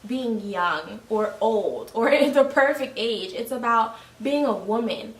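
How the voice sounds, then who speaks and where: A woman in her twenties with a New York accent talks candidly, with a voice that sits a bit high. She laughs easily. Her voice echoes around the room, picked up from some distance. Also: lively intonation, measured pace. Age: 20s. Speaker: host. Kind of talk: casual conversation. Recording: roomy, mic at a distance.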